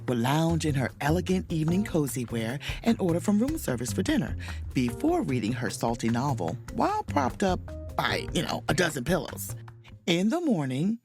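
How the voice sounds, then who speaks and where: Low, lively, wry woman narrator. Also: husky, no room sound, full character voice. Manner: confiding, wry, playful. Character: wry, self-mocking adventurous woman officer narrating her vacation. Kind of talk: first-person audio drama narration and dialogue.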